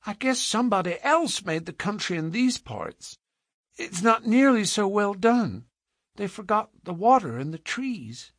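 A man's voice: sits high, big pitch swings, unhurried, a bit bright, no room sound, studio quality, pitched above the performer's own voice, exaggerated voice. A man voicing an innocent little girl in a storybook, with artless, earnest, childlike prattle and a thin voice. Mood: curious, frank. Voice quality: thin, lighter voice.

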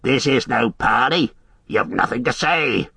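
A man's voice: mid-range voice, lively intonation, unhurried, creaky, pushed and bright, husky, dry recording, exaggerated voice. A man voicing a horde of male orc warriors who jeer and bellow taunts in an audiobook.

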